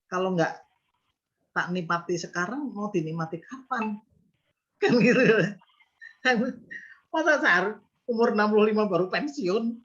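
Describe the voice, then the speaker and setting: A man's voice: high-pitched, animated, unhurried, pauses often, fairly bright tone, highs cut off. A man in his fifties, a business owner, explaining his views earnestly in an interview, often asking rhetorical questions. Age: 50s. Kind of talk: reflective interview talk.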